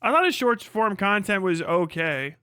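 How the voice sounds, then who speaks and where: A man's voice: high voice, expressive, normal speed, sharp tone, clear, resonant tone, no room sound, clean studio sound. A man, perhaps middle-aged, ranting casually on a livestream in a brash, mocking, profane and emphatic way. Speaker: livestream host.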